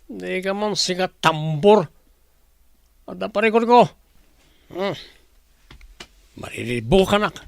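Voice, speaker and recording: A performer with an Ilocano accent voicing a gruff, scornful, dismissive bully in a radio drama. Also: medium pitch, expressive, takes it slowly, pauses often, no room sound, clean studio sound. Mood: irritated.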